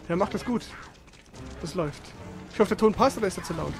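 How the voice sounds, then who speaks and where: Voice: mid-range voice, expressive, fast. Streamer thinking aloud over game music. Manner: thinks aloud, asks questions while playing. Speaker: streamer playing a video game. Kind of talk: casual live game commentary.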